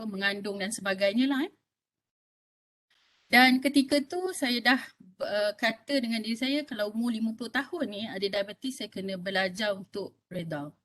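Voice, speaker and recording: A woman's voice: mid-range voice, fairly bright tone. A woman in her fifties with a Malaysian Malay accent, giving a health talk to an audience in a conversational way and often checking in with "okey?". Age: 50s. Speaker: health talk speaker, doctor.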